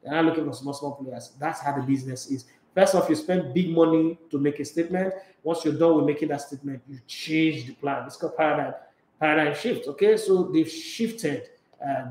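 A middle-aged Nigerian man hosting a sports radio talk show, animated and emphatic, mixing pidgin into his English. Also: on the high side. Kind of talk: live sports talk show, reading viewer comments. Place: small radio studio. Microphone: studio microphone with headphones. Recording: echoey studio room.

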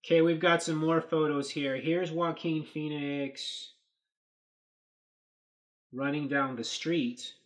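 A man in his thirties, hosting a casual commentary on movie set photos, thinking aloud with lots of fillers, recorded at a desk webcam in a small dark room. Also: on the high side, fairly even intonation, slow, long pauses. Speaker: host.

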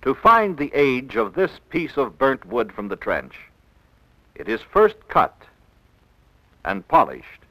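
A male American narrator delivers scripted educational narration in the manner of a 1960s classroom film. He speaks slowly and instructively, enunciating each phrase, with a widely varied melody. The sound is dry, from an old film soundtrack. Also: mid-range voice, wildly swooping pitch, a bit bright, dry recording, low-quality recording. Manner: measured, didactic, clearly enunciated.

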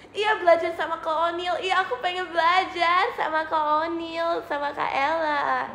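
Giggly high-voiced young woman livestreaming. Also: steady tone, a little slow, pushed and bright. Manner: playful, giggly, mixes English phrases into her talk. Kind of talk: casual livestream chat with viewers. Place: small indoor room, seated at a desk. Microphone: phone or webcam in front of her. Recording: roomy echo, faint room hiss.

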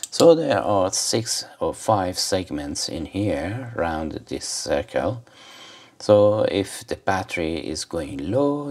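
A man with a low-pitched voice reviews a gadget in an informal, unscripted way. He speaks slowly and hesitantly, wandering from one remark to the next. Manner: rambling, hesitant. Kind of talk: casual unscripted product demonstration.

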